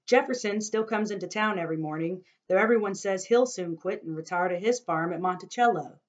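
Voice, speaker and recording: A woman's voice: medium pitch, brisk, muffled recording, lightly acted. A woman reading aloud, giving a fearful older man his lines in a grave, worried, lecturing way. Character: fearful older male townsman.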